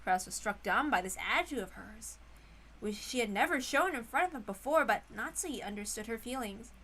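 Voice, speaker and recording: A woman's voice: on the high side, animated, fairly quick, a bit bright, low-quality recording. A woman reading a story aloud as an amateur narrator, informal and given to apologetic, self-interrupting asides.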